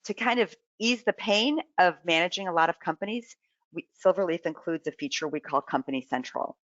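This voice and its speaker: A presenter giving a live software demonstration in an informal way, explaining and thinking aloud while navigating. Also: mid-range voice, brisk, no echo, highs cut off.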